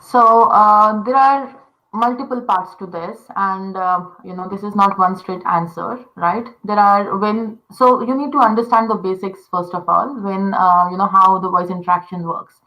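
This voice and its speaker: A woman's voice: medium pitch, moderate pace, sharp tone, rough recording. A woman from India with an Indian accent, a native Telugu speaker, explaining conversation design in casual talk full of fillers like "uh" and "you know".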